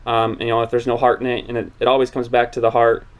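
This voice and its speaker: A man's voice: medium pitch, monotone, quick pace, low-quality recording. A man in his twenties hosting a casual interview chat, rambling and earnest with many fillers and restarts. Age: 20s. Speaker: channel host.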